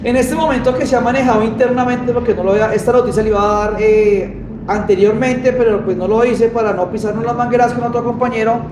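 High-voiced Colombian man, echoey workshop. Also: steady tone, quick pace. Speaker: host. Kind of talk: casual live-stream talk, announcing a championship. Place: small motorbike workshop, indoors. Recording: echoey room with clear background noise.

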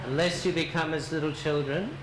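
A man leading a church service, reciting prayers in a slow, measured way. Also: fairly high pitch, a crowd in the background. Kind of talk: liturgical prayer and announcements. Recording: echoey church with background noise.

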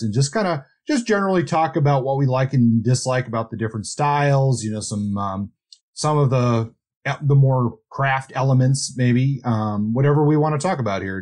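A man's voice: medium pitch, darkish tone. A man co-hosting a podcast, talking about books in a conversational, loosely hedged way. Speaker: podcast co-host. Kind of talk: casual book discussion.

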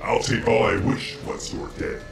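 A man's voice: mid-range voice, moderate pace, loud music behind the voice. A man voicing a hostile cyborg ninja for a video game, clipped and menacing, through a metallic robotic filter. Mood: cold menace. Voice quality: gravelly, metallic. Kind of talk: video game character dialogue.

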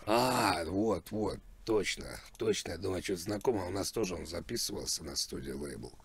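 A man's voice: low voice, moderate pace, slightly rough. A man making a prank call as a music producer, chatting casually in repetitive patter. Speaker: prank caller posing as a rap label producer. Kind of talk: casual phone talk.

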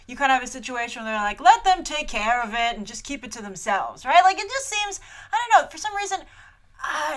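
30s woman host, chatty reaction review, bright and slightly high, animated, webcam in a small room. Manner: animated, chatty, laughs often. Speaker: movie trailer reviewer host. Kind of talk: casual trailer reaction.

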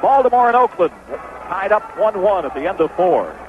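A man's voice: high voice, sing-song, normal speed, phone-quality sound, music in the background. A man calling baseball play-by-play as radio commentary on an old broadcast.

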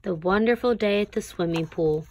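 A woman reading a children's picture book aloud as a teacher would, with deliberate phrasing for young listeners. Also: medium pitch, darkish tone. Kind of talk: read-aloud children's storybook narration.

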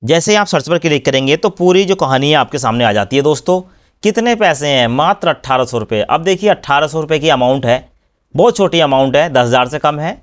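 A man's voice: fairly high pitch, expressive, a little fast. A man hosting a screen-recorded tutorial, explaining step by step and often addressing viewers as friends. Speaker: YouTube channel host explaining refunds.

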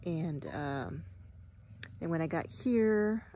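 A woman's voice: on the low side, lively intonation, slow, mellow, slight background noise. A woman in her forties, a wellness guide, chatting casually to her phone camera outdoors, rambling with many fillers and sometimes dropping to a whisper. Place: outdoors at a ranch beside a travel trailer. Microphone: phone held at arm's length.

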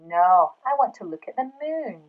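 A woman's voice: mid-range voice, very animated, moderate pace, phone-quality sound, natural voice. Woman voicing a stubborn, bouncy little pig. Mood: bouncy, defiant. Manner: emphatic, childlike refusals. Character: playful stubborn little pig putting off bedtime.

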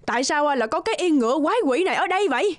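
A woman's voice: high-pitched, lively intonation, racing, no room sound. A woman playing a bossy, exasperated middle-aged wife in a children's fairy-tale cartoon, nagging and scolding. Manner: scolding, exclamatory.

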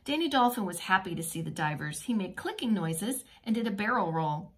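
A woman preschool teacher reading a children's picture book aloud in an expressive, teacherly way with simple asides. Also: mid-range voice, brisk.

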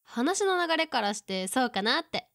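女性が演じる表情豊かな三十代の女. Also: female voice, high-pitched, sing-song, moderate pace, fairly bright tone, dry recording, clean studio sound, exaggerated voice. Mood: teasing, perceptive. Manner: casual, teasing. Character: teasing, perceptive woman of thirty-five, putting on cheer. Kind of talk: audio drama dialogue.

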